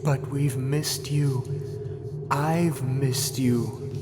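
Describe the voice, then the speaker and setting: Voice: medium pitch, fairly even intonation, drawn-out words, background noise. A performer acting a caring, pleading pony friend in a fan radio drama, earnest and insistently coaxing. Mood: gentle pleading.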